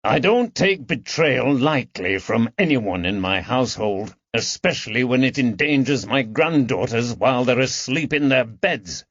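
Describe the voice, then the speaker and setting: A man voicing an angry, commanding elderly gentleman, the indignant master of a household, in an audiobook. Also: medium pitch, animated, measured pace, hardly any pauses, no echo, lo-fi sound. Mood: stern anger. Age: older. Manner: indignant, snapping, forceful. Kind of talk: audiobook character dialogue.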